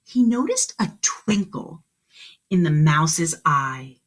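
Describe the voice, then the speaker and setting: A woman's voice: deep voice, sing-song, takes it slowly. A woman kindergarten teacher reading a storybook aloud to young children, expressively, with exclamations, questions and explanations along the way.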